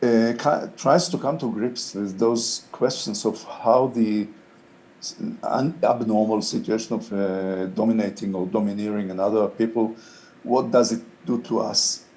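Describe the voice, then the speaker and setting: An older man with a low, mellow voice speaks English as a second language, his first being Hebrew. He talks slowly and thoughtfully, often pausing on fillers as he gathers his words. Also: steady tone, rough recording. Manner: thoughtful, halting, many "uh" fillers. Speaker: interviewed playwright.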